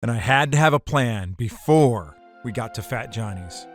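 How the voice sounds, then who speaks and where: A man's voice: mid-range voice, lively intonation, normal speed, faint music behind, lightly acted. A man playing a hardboiled ex-bootlegger who narrates his caper in an audio drama, terse and wry. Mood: wary, resolved.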